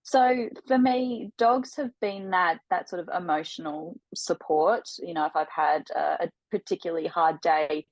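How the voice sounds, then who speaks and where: Young aussie woman, low voice, chatty with lots of ums, dry close sound. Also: a little slow, few pauses, no echo, rough recording. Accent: Australian. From Australia. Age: 20s. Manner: chatty, many ums and repeated words. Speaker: guest, aspiring life coach. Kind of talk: casual interview talk.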